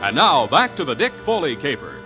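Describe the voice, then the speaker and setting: A man's voice: on the high side, huge pitch swings, brisk, gravelly, over loud music. A man announcing in 1940s radio style, reading sponsor copy with a polished, emphatic salesman's delivery. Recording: vintage 1940s radio broadcast.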